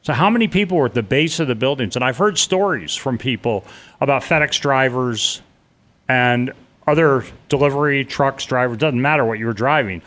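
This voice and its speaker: A man's voice: medium pitch, normal speed, fairly bright tone. Blunt storytelling man, swearing freely. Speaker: interview guest. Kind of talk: personal storytelling in an interview.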